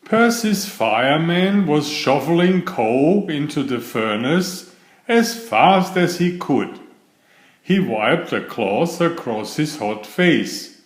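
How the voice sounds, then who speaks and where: A man reading a children's bedtime story aloud in a deliberate, expressive storybook manner. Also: fairly high pitch. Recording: roomy, echoing voice recording.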